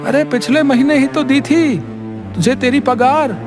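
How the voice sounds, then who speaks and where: An actor voicing a stingy, fussy village merchant man in a cartoon story, haggling and protesting in drawn-out phrases. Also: sits high, music in the background. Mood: evasive, irritated. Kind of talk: animated moral-story cartoon acting.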